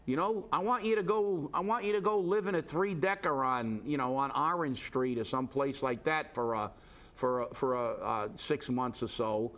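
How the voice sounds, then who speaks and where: A man's voice: medium pitch, normal speed, a bit bright. A man hosting a local political commentary show, stuffed up with a head cold, talking in an indignant, rambling way with many restarts. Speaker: host.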